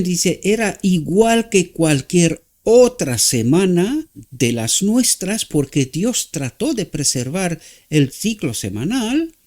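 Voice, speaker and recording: Romanian man preaching with lively pitch. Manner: halting, searching for words, frequent rhetorical "¿verdad?".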